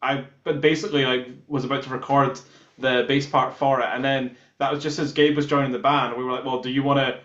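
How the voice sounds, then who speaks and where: A man's voice: medium pitch, flat delivery, brisk, a bit bright. A man from Scotland, a musician in a band, casually recounting things in an interview. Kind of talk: casual chat, telling how a song was written. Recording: echoey room, slightly dull sound.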